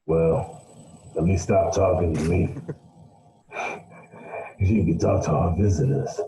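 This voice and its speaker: A man playing a weary, deep-voiced lighthouse keeper. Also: fairly even intonation, takes it slowly, creaky, mellow, slight background noise, exaggerated voice, rough recording. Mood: grumbling.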